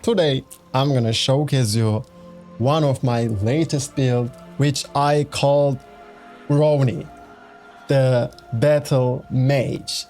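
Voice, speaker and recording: A man's voice: mid-range voice, fairly even intonation, takes it slowly, darkish tone. A young man with a Turkish accent, a gaming YouTuber, chattily walking through a game build with fillers and restarts. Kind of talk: casual game build walkthrough. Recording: faint background music.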